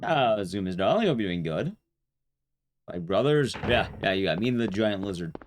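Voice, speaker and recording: Animated male game streamer, chatty. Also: on the low side, normal speed, slight background noise. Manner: rambling, playful asides. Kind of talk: casual livestream commentary to chat. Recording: loud music under the voice. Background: game and stream music.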